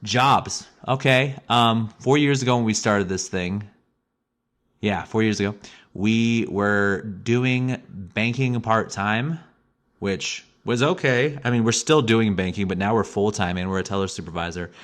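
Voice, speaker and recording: A man's voice: lowish voice, a little slow, a bit bright. A young man from Missouri with a Missouri accent, hosting a solo podcast in a rambling, self-deprecating monologue. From Missouri, USA. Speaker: podcast host.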